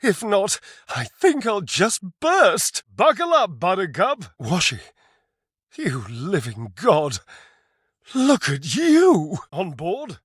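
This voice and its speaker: A man playing a flamboyant, flirtatious, theatrical living appliance in a dating game, gushing with pet names and drawn-out words. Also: high voice, huge pitch swings, fairly bright tone, breathy edge, no room sound, studio quality, exaggerated voice. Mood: exuberant. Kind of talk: dating-game character voice acting.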